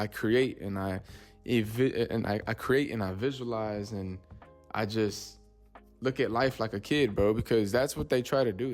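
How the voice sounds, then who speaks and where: A man, hosting his own podcast in loose, rambling casual talk. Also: medium pitch. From Texas, USA. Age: 20s. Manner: loose and rambling, says "you know what I'm saying" often. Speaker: podcast host.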